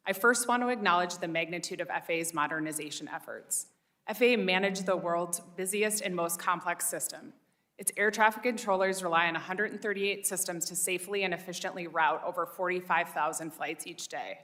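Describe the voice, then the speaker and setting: Bright, low-pitched woman testifying formally. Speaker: expert witness giving testimony. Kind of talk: formal prepared testimony read aloud.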